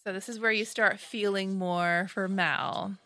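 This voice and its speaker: A woman co-hosting a fan podcast, recapping an episode in casual chat with quick, matter-of-fact remarks. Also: medium pitch, fairly even intonation, sharp tone. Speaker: podcast co-host.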